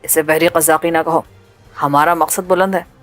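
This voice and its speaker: A woman voicing a cold, ruthless male pirate leader who talks in a calm, mocking, menacing way. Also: low-pitched, faint music behind, pitched below the performer's own voice, close to an everyday voice.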